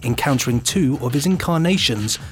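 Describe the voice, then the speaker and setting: A man's voice: mid-range voice, lively intonation, a little fast, slight background noise. A man co-hosting a podcast, reading scripted items mixed with banter. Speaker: podcast co-host.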